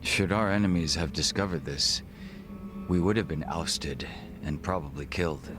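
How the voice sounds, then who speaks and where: A man voicing a refined, reserved gangster in a video game cutscene, speaking in formal, measured, polite phrases. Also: low voice, music in the background, natural voice. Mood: grave, reflective. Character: refined, reserved Korean gangster, a former body double.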